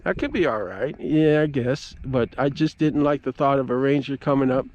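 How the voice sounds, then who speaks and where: Middle-aged man rambling over clear outdoor noise. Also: medium pitch, darkish tone, highs cut off. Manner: halting, folksy, stop-and-start storytelling. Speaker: amateur radio operator vlogging. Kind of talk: casual rambling outdoor vlog. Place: outdoors at a lakeside park. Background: ambient outdoor noise.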